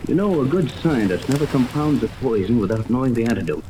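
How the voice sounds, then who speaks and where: An actor playing an earnest, authoritative ship captain in a 1930s radio adventure, explaining things with urgency. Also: male voice, mid-range voice, animated, fast, close to an everyday voice. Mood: earnest, resolute. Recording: noisy old 1930s radio, rain-like hiss.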